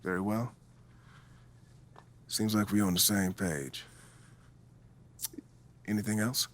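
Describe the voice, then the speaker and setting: An actor playing an earnest, reassuring basketball team associate in a video game. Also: male voice, on the low side, steady tone, slow. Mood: conciliatory. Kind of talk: video game cutscene dialogue.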